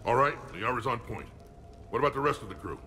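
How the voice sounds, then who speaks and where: An actor playing a confident, sardonic soldier with blunt, self-assured delivery in a video game. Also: male voice, mid-range voice, expressive, fast talker, bright, forceful tone, faint music behind. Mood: upbeat, cocky.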